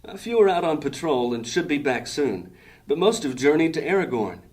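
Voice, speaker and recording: A man's voice: a little high, big pitch swings, moderate pace, a little mellow. An actor playing a formal, proud male leader of a thieves' gang in an audio drama, speaking ceremoniously.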